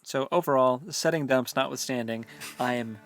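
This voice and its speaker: A man co-hosting a book discussion podcast, chatting casually and thinking aloud in a halting, colloquial way. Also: medium pitch. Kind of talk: casual chat about a novel.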